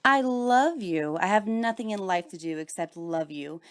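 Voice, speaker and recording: A woman's voice: mid-range voice, lively intonation, normal speed, close to an everyday voice. A woman playing a besotted young wife in a horror comic drama, speaking in a theatrical, melodramatic way. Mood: adoring, gushing. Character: besotted young wife, cold at first, then gushing with adoration.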